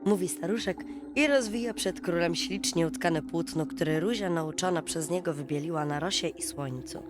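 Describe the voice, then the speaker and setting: Lower-pitched woman narrating a bedtime fairy tale. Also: moderate pace. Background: music.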